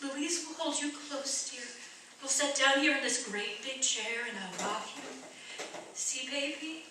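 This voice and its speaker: A tender, take-charge wife from an old-fashioned radio play, acted by a woman. Her voice is high and very bright, with an animated melody. It is heard at a distance in an echoing space. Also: a little slow. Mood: tender, soothing. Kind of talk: old-time radio drama acting. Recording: echoey stage, distant mic, faint noise.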